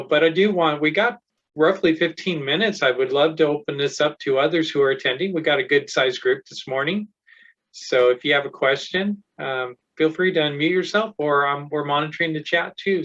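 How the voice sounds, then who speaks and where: A man's voice: mid-range voice, a bit bright. A man moderating a webinar on a video call, friendly with hesitant fillers. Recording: dull video call audio.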